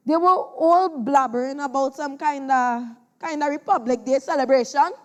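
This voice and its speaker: A young boy as boastful, high-voiced trickster spider. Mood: haughty. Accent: Trinidadian. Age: child. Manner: exaggerated, dramatic delivery with drawn-out words. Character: boastful, deceitful trickster spider Anansi telling his tale. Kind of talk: theatrical competition storytelling on stage.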